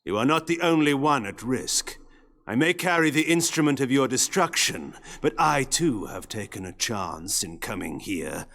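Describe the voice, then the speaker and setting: An actor playing a proud, ancient vampire lord in a video game, grave and measured. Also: male voice, animated, fairly bright tone. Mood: controlled, insistent. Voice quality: husky, gravelly low voice. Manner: measured, imperious, theatrical delivery. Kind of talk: dramatic video game cutscene acting.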